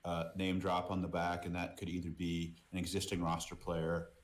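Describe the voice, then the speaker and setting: A man's voice: low voice, monotone, moderate pace. A middle-aged man from Boston with an American accent, talking casually as an interview guest, repeating words and often saying "you know". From Boston, United States. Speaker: podcast guest, merchandise business executive.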